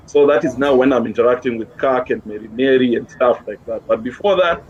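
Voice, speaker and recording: A man's voice: mid-range voice, brisk. Kenyan man, muffled noisy recording. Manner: informal, repeats words for emphasis. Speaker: interview guest, gospel musician. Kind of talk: casual chat, code-switching. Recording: dull lo-fi sound with clear background noise.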